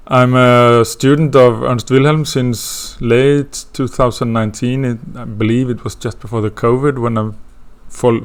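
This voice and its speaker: A man from Sweden with a Swedish accent, introducing an astrology course in a hesitant way, with many fillers and restarts. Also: mid-range voice. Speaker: astrology teacher.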